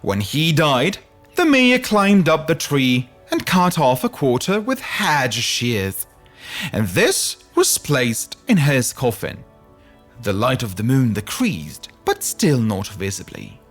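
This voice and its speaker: A man narrating a scripted fairy tale in a theatrical, deliberate storytelling manner, as a podcast host would. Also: medium pitch, sing-song, fairly bright tone, soft background music.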